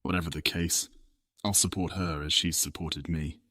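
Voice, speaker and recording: Casting: male actor as steadfast fantasy companion, very deep voice, calm and earnest, dry close sound. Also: moderate pace, no room sound. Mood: calm, resolute. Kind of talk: audiobook drama acting.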